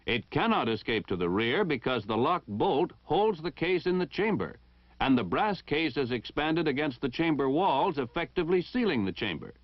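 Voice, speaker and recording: A man's voice: fairly high pitch, sing-song, no echo. An American man reading a formal, precise instructional narration in the style of a 1960 military training film. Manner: formal, precise, measured enunciation. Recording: dull 1960 film soundtrack.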